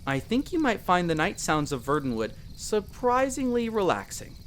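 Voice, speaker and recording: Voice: mid-range voice, big pitch swings, normal speed, slight background noise, close to an everyday voice. A performer playing a friendly, protective young elf explorer in a roleplay audio drama, chatty and reassuring, speaking to the listener. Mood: warm, reassuring.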